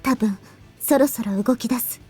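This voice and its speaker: A high, gentle voice for a small teenage girl in an anime game. She is earnest, caring and focused, and she speaks briskly. Music plays softly behind her. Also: breathy edge. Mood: focused warning. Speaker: voice actor in a visual novel.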